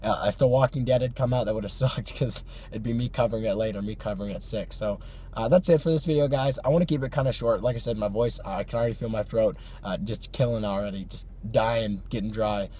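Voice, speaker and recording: A young man talks casually from a parked car, his voice hoarse from a cold. His tone sits low and mellow, full of fillers and small laughs. A faint hum of ambience sits behind him. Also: quick pace. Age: young adult. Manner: chatty, many "uh" fillers, laughs between phrases. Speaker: vlog host. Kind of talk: casual car vlog chat. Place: parked car at night, parking lot. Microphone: phone or camera on the dashboard.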